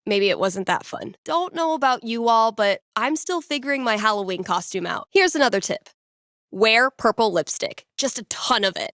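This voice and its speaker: Wry young American radio DJ. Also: female voice, mid-range voice, fairly bright tone, no room sound, studio quality. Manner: wry, playful, conversational. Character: witty young woman small-town radio DJ and fortune teller. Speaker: radio host. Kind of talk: casual local radio announcements, video game voice acting.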